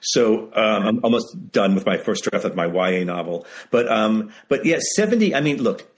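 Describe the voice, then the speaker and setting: A man's voice: fairly low pitch, lively intonation, normal speed, husky. A seventy-year-old man talking candidly as an interview guest, emphatic and repeating phrases for stress. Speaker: guest, author and writing teacher. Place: video call.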